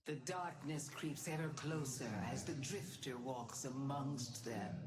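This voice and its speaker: Slow, low-voiced imperious matriarch. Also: female voice, a little mellow, echoey room. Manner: grand, measured, ominous. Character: solemn, imperious cult matriarch praising her followers. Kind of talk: theatrical game voice lines.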